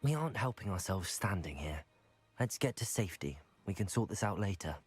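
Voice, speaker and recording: An actor playing a polite, composed young student of magic in a video game. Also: male voice, low voice, big pitch swings, a little fast, breathy edge, soft background music, full character voice. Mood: practical. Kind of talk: video game cutscene acting.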